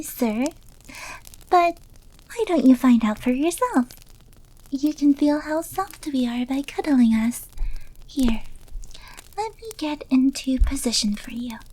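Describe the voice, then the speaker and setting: High, soft, slow devoted maid girl played by a woman. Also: a little hiss or hum, rough recording. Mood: adoring, submissive. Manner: deferential, coy, eager to please. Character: sweet, submissive, obsessively devoted young yandere maid girlfriend. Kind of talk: roleplay ASMR acting.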